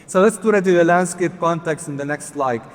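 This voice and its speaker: A man's voice: fairly high pitch, brisk. A man giving a conference presentation, earnest with touches of light humor, asking for the next slides. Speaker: conference speaker. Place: large conference hall. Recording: long hall reverb.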